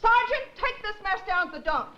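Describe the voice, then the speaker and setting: High, brusque, scornful inspector. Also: female voice, animated, a little fast, heavily acted. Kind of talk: 1950s live TV comedy sketch acting. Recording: muffled, very noisy 1950s television, loud audience.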